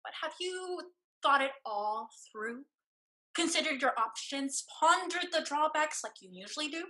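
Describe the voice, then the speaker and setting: A woman's voice: high-pitched, expressive, measured pace, pushed and bright, full character voice, rough recording. A woman playing an anxious, stubborn sister who protests earnestly and dramatically in a staged play reading. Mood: doubtful.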